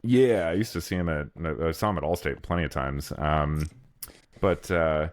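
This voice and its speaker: A man's voice: extremely low pitch, normal speed, dry recording, studio quality. An American man in casual podcast co-host chat, hesitant and prone to swearing and easy laughter.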